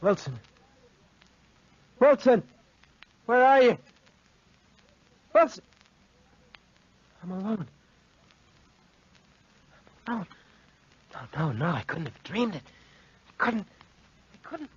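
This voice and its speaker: Raspy, haunted young man. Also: high-pitched, sing-song, exaggerated voice. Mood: frantic. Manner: halting, anguished confessing. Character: haunted, guilt-ridden young man, desperate and wounded. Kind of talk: 1940s radio drama acting. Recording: dull 1940s radio recording.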